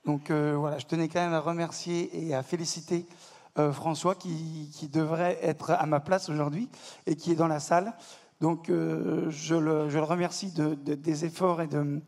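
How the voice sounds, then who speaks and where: A man speaking on a conference panel as a network delegate, halting, with many repeated words and fillers. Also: fairly high pitch, flat delivery, a little mellow. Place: conference hall.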